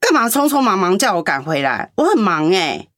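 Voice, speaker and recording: An actress playing a brusque, quarrelsome grown daughter in a radio play. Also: female voice, mid-range voice, very animated, brisk, fairly bright tone, no room sound, clean studio sound. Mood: accusing. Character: sharp, accusing grown daughter.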